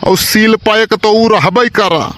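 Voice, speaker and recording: A man's voice: high voice, slow pace, fairly bright tone. A man voicing a gullible, indignant village uncle in a comic cartoon, with an Awadhi accent and aggrieved, blustering complaints. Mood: complaining. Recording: clearly noisy recording.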